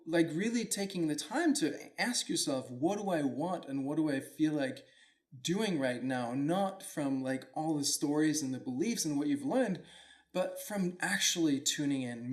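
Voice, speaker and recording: A man from Denmark, hosting his own show in an earnest, reflective, casual way. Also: a little high. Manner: earnest, reflective, loose with fillers like "like". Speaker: podcast host. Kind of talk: solo motivational talk.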